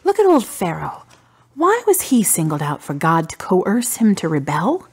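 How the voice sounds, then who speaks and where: A woman acting in a radio drama as a grieving adult daughter full of doubt, pensive and questioning, with a breathy voice. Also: on the low side, wildly swooping pitch, moderate pace, a little mellow, heavily acted. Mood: doubting, challenging. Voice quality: husky, breathy.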